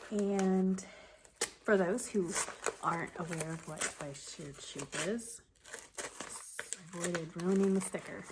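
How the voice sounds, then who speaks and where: A woman's voice: lowish voice, slow pace, long pauses, background noise. Sniffly, chatty woman vlogger. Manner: rambling, chatty, self-correcting. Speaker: knitting vlogger. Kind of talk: casual chat to camera.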